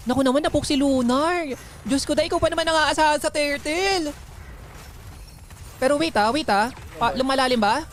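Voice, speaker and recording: Excitable filipino gamer casting a match live, repeats stuff, lots of exclaiming, noisy background. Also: high voice, fairly quick, long pauses. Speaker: esports match commentator. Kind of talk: casual live game watch-along commentary. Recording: clear background noise.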